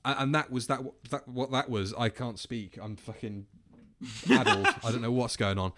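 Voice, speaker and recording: A man with an average-pitched voice hosts a commentary podcast. He chats casually, swears freely and keeps stopping to rephrase himself. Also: steady tone, a bit bright. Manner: halting, sweary, self-correcting. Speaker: podcast host.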